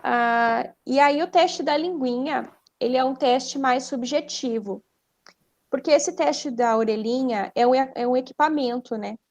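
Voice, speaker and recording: A woman's voice: high-pitched, measured pace, darkish tone. A woman with a Brazilian Portuguese accent, a speech therapist explaining conversationally as a podcast guest, didactic, posing questions and then answering them.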